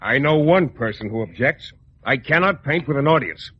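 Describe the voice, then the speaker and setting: An actor playing a haughty, bossy painter with a morbid streak, imperious and theatrical in old radio comedy style. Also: male voice, medium pitch, animated, normal speed, husky. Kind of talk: 1940s-50s radio comedy acting. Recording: muffled, faintly noisy old radio broadcast.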